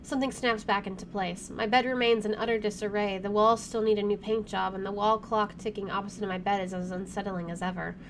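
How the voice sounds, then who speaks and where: A woman doing let's play commentary, reading game text aloud in an expressive, dramatic way. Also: medium pitch, fairly quick, few pauses. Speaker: video game streamer. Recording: background noise and game ambience.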